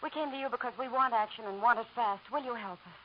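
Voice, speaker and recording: A woman acts as a composed female research assistant in a vintage radio drama. She speaks quickly, with poise and clipped urgency. The sound is muffled, with faint noise. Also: a little high, natural voice. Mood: earnest, urgent. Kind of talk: 1940s radio drama acting.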